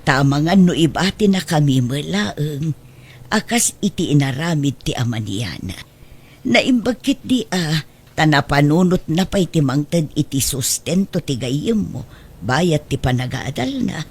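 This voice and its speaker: Middle aged ilocano mom in a radio drama, husky voice, kind and resigned. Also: female voice, low-pitched, expressive, a little slow, darkish tone, full character voice. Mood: calm, resigned. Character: single mother, resigned and kindly middle-aged woman.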